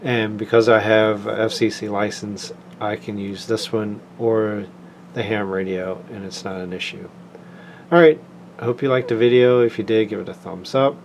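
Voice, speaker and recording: A man's voice: on the low side, moderate pace. A man giving a casual, plain-spoken product demonstration in an informal walkthrough style. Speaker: product reviewer. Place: indoors, home tabletop recording. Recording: clear steady air-conditioning room noise.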